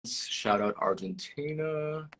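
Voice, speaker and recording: A man's voice: fairly high pitch, animated, takes it slowly, fairly bright tone. A man hosting a live-stream interview, upbeat and enthusiastic, laughing often. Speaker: live show host.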